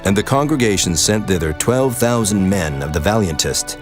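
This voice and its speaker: Scripture narrator: man, low-pitched, solemn, measured formal read over a clear music bed. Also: expressive. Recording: prominent music behind the voice.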